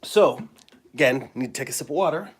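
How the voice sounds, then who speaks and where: A middle-aged man, hoarse and raspy from a cold, clearing his throat as he chats casually with fillers while drawing at his table. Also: medium pitch, expressive. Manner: rambling, friendly, halting with fillers. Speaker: comic artist and live-stream host. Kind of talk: casual live-stream chat. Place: home studio at a drawing table.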